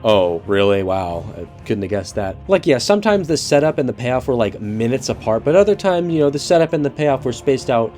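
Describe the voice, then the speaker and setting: A man's voice: medium pitch, big pitch swings, brisk, few pauses, a little mellow, faint music behind. An eighteen-year-old man hosting a casual spoken movie review, sounding congested from allergies, loose and profane with lots of fillers and restarts.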